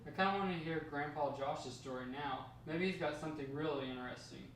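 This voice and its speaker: A man's voice: a little high, a little fast, a bit bright, slight background noise. A man reading the part of a curious, persistent grandson questioning his grandfather at a script table read. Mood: impressed. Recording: distant, very echoey room.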